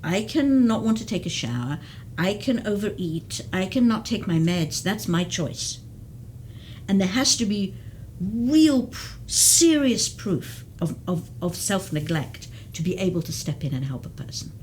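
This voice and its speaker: A woman's voice: mid-range voice, big pitch swings, a little slow, a little mellow, a little hiss or hum. A woman who works as a geriatric case manager, explaining at length in an interview with frequent restarts. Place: community TV studio.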